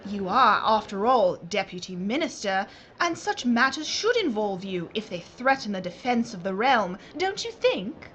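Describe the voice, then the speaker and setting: A woman playing a shrewd, sharp-tongued titled lady in an audio drama, with an assured, well-bred manner of speech. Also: mid-range voice, big pitch swings, a little slow, few pauses, slight background noise. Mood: amused, persuasive.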